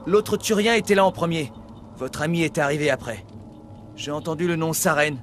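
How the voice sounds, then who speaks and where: An actor playing a frightened, cowardly human survivor in a video game, nervous and rambling. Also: male voice, a little high, moderate pace, a bit bright, slight background noise, natural voice. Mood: agitated, rattled. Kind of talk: dubbed video game cutscene acting.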